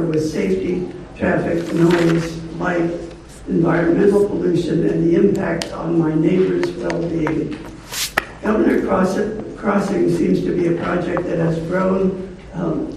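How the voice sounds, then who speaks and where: An older woman with a hoarse voice, reading a measured, formal prepared statement as a resident at a public hearing. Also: on the low side, warm tone. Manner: measured, formal, clears throat often. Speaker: resident giving public comment. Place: large public meeting hall. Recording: echoey hall, distant mic, faint noise.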